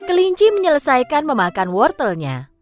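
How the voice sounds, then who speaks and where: A woman narrating a scripted children's fable in an expressive storytelling style. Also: high-pitched, moderate pace, faint music behind.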